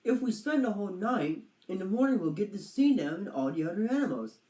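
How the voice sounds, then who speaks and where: A bold storybook turtle gentleman acted by a woman speaks with theatrical pomp. He is chummy and confident, with a very animated delivery in a roomy, echoing space. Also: medium pitch, fairly quick, recorded from a distance, voice pushed up, natural voice. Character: hearty, confident adventurous male turtle, chummy gentleman explorer.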